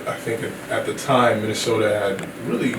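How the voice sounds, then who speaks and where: A low-pitched man from Sierra Leone tells his story as a podcast guest, relaxed and easygoing. The sound is echoey and he is set back from the mic, with steady background noise. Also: fairly quick, far from the mic. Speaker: guest, company CEO. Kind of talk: casual podcast interview.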